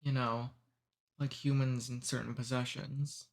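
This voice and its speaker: A man voicing a cordial, amused male demon who takes humans away, speaking softly and unhurriedly in an ASMR roleplay. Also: medium pitch, level tone, a little slow, creaky.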